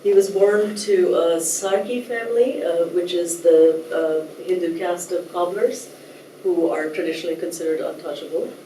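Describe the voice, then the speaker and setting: A woman from Nepal giving a thoughtful, measured talk as a writer, at times reading a translated essay aloud, with soft hesitations. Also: on the low side, fairly even intonation, a little hiss or hum. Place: lecture room. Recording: roomy echo, distant mic, faint ambience.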